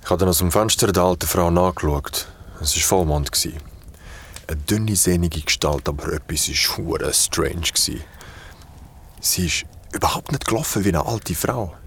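A man's voice: low-pitched, moderate pace, gravelly, breathy edge, exaggerated voice. A man playing a grown-up recounting a haunting childhood memory in an audio drama, casual and confiding, with a Swiss German accent. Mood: haunted recollection. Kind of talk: colloquial storytelling in audio drama.

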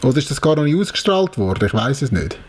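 A man's voice: mid-range voice, sing-song, fast, a little hiss or hum. A Swiss German man in his forties, co-hosting a podcast in a wry, hesitant way. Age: 40s. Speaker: podcast co-host. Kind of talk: casual chat.

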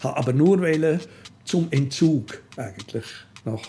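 An older man with a Swiss German accent, telling anecdotes in a casual, animated way, repeating himself as he goes. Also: fairly high pitch, a little slow. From Switzerland. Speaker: interview guest. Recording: music behind the voice.

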